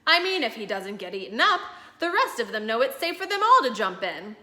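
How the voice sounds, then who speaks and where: An eager, dreamy family man from a storybook, read by a woman. His lines come bright and lively, rising and falling with enthusiasm. The reading sounds roomy and echoey. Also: fairly high pitch, brisk, heavily acted. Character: dreamy, cheerful middle-aged man.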